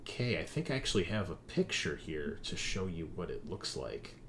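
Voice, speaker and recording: A man working as game master, improvising descriptive narration for a tabletop horror game in a halting way. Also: sits low, big pitch swings, background noise.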